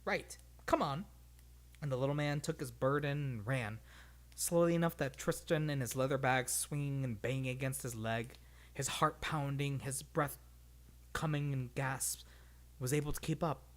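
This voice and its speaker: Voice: medium pitch, steady tone, normal speed. A streamer reading a fantasy story aloud expressively, with casual, unpolished delivery. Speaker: read-along streamer.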